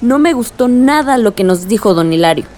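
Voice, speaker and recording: A woman's voice: fairly high pitch, lively intonation, fairly quick, a little mellow, natural voice. An actress with a Mexican accent playing a determined, spirited young woman from a village in a radio drama, polite but outspoken. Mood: annoyed. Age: young adult.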